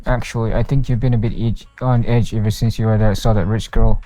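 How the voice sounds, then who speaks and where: A man's voice: fairly low pitch, steady tone, a little fast, warm tone. A man livestreaming a game, reading its dialogue and narration aloud with occasional stumbles. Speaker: game streamer. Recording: roomy, with faint game music behind.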